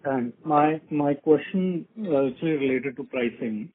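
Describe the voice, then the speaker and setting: A man's voice: a little high, takes it slowly, husky. A man calling in as an analyst to ask questions on an earnings call. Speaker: analyst caller. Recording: muffled narrow phone line.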